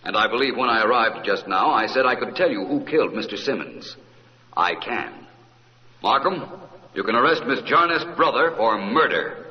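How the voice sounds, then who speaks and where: A man's voice: medium pitch, expressive, normal speed, breathy edge. An actor playing a suave, witty amateur detective in an old-time radio mystery, urbane and self-assured as he explains. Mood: teasing, assured. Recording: muffled vintage radio recording.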